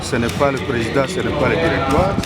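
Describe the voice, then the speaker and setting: A man's voice: medium pitch, fast, over loud music. A man with a Congolese accent giving an insistent, repetitive statement to the press as a community leader. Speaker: community association president. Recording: loud crowd, outdoor urban noise.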